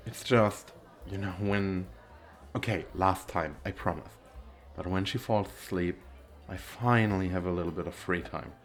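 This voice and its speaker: A man playing a shy, playful single dad on a speed date in an ASMR roleplay, talking casually with hesitant, self-deprecating, halting phrasing. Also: low-pitched. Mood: earnest, chatty.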